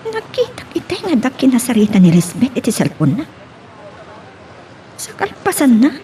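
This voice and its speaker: A woman's voice: on the high side, huge pitch swings, moderate pace, mellow, a little hiss or hum. A woman playing a watchful informant in an audio drama, reporting on what she has seen.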